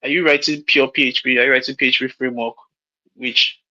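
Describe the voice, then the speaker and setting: A man's voice: mid-range voice, fairly even intonation, fast talker, sharp tone. A man in his late thirties with a Nigerian accent, giving frank, rambling career advice on a call and often restarting his sentences. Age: late 30s. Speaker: mentor hosting a call. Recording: lo-fi call audio.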